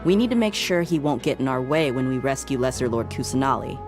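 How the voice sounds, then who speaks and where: A low-voiced, teasing mercenary. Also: female voice, lively intonation, fast. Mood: businesslike. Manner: playful, teasing. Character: teasing young female mercenary. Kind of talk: game voice acting. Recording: game music playing loud behind.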